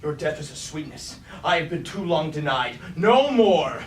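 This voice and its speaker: An actor playing a loyal, hot-headed robot warrior, indignant and declamatory in a staged reading of a tragedy. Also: male voice, a little high, very animated, normal speed. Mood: vengeful. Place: indoor staged reading at a university. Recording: roomy, distant stage recording with light noise.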